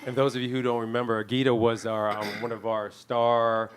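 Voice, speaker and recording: A man's voice: medium pitch, level tone, normal speed, slight background noise. Man moderating, mid-range voice. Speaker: panel moderator. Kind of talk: casual moderating.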